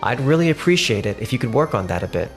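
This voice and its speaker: A man playing a firm but polite sales manager in a manga dub, measured and businesslike. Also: medium pitch, very animated, faint music behind. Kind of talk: manga dub acting and narration.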